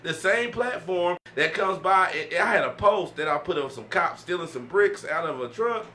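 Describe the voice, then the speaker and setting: A man's voice: high voice, animated, moderate pace, sharp tone. A man hosting a casual group discussion, speaking in an emphatic, probing, slangy way. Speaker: podcast host. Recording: faint background noise.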